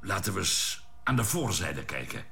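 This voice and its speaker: A cautious spaceship doctor in a radio serial. The voice is fairly low with very lively intonation, and the manner is measured, thoughtful and concerned. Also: male voice, creaky, slight background noise, heavily acted. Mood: shaken, thoughtful. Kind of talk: radio drama acting, science fiction serial.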